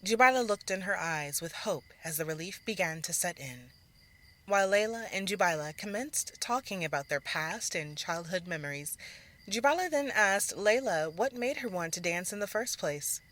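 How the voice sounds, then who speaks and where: A woman storyteller reading scripted fiction narration in a measured, theatrical manner. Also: lowish voice, lively intonation, fairly bright tone.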